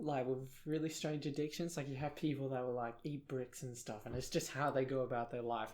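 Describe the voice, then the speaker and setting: A man's voice: mid-range voice, a little mellow. A young man from South Africa with a British accent, co-hosting a casual podcast chat in a rambling, self-deprecating way with many fillers. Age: young adult. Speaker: podcast co-host.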